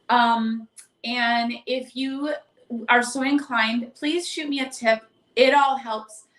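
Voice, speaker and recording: Hoarse, higher-voiced woman, echoey room. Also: a bit bright. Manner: effusive, affectionate greetings and endearments. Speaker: tarot reader hosting a livestream. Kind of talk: casual live chat reading viewer comments. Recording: echoey, roomy live stream.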